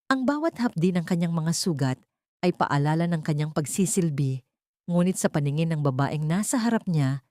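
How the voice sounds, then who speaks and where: Lowish-voiced woman narrating a dramatic story. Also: mellow, no echo, studio quality.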